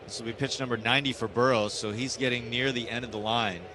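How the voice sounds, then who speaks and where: A man's voice: medium pitch, steady tone, fast talker, background noise. A man doing live radio play-by-play commentary of a baseball game from a broadcast booth.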